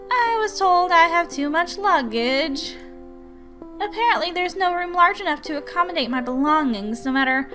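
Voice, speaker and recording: Woman voicing a polite, flustered young scholar, high-pitched. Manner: formal, apologetic wording. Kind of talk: visual novel character acting.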